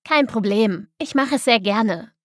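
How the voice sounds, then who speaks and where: A woman playing an obliging, modest publisher in a scripted language-lesson dialogue, speaking politely with clear enunciation. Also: on the high side, lively intonation, unhurried, no room sound. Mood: willing.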